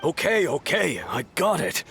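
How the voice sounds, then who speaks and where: Brash creaky-voiced shady customer. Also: male voice, on the high side, big pitch swings, unhurried, a bit bright, soft background music, full character voice. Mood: defiant, argumentative. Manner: brash, argumentative. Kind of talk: anime-style video game voice acting.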